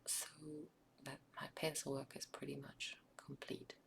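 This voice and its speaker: A South African woman whose first language is Afrikaans, narrating a craft project in a soft, often whispered ASMR style with frequent ums. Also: rumbling low, level tone, a little slow, creaky. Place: home craft table.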